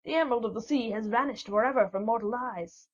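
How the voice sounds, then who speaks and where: A woman voicing a kind older fisherman who tells a sad tale gently in a storybook reading. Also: fairly high pitch, fast talker, natural voice. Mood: mournful. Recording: dull lo-fi recording.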